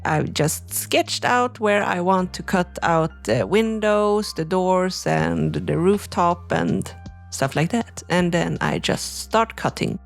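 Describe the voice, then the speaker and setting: Unhurried, gravelly woman crafter. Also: lowish voice, soft background music. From Sweden. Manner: relaxed, chatty explaining with frequent "like" and "you know". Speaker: crafting channel host. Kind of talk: voice-over craft tutorial.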